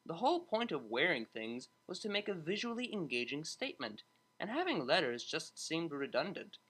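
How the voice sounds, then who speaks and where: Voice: medium pitch, sing-song, normal speed, fairly bright tone. A narrator reading a story aloud in a scripted audiobook style.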